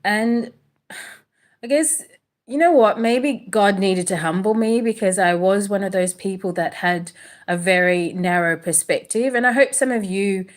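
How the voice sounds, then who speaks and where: A woman raised in Australia with a British accent, talking casually and haltingly on a livestream from an apartment, full of pauses and fillers. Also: medium pitch. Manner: hesitant, many pauses and fillers. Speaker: livestream host. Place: indoors in an apartment.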